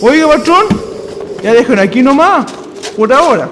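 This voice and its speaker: Man playing a high-voiced Chilean farm labourer, reverberant. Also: expressive, normal speed. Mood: urging. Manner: colloquial, rustic. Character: chatty, folksy rural farm labourer, male. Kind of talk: radio drama acting. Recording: reverberant, slightly noisy radio drama.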